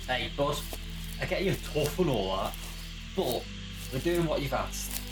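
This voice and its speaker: Very low-voiced, plucky companion played by a woman. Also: lively intonation, unhurried, music in the background, rough recording. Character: plucky, protective adventuring companion named Art. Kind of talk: audio drama acting.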